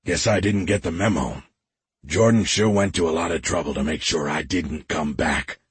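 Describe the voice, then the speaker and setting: A man voicing a tough, grizzled older soldier with a croaky voice and terse, wry delivery, in an audiobook. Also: low voice, moderate pace, no echo, pitched below the performer's own voice. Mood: wry, grim. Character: tough, laconic, grizzled old male soldier. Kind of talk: audiobook character voice.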